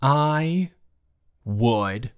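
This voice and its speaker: A man's voice: medium pitch, expressive, clear, resonant tone. Man playing a flustered, awkwardly romantic best friend. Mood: shy, eager. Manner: hesitant, stammering, halting delivery. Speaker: audio roleplay performer. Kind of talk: improvised romantic audio roleplay, intimate one-sided conversation.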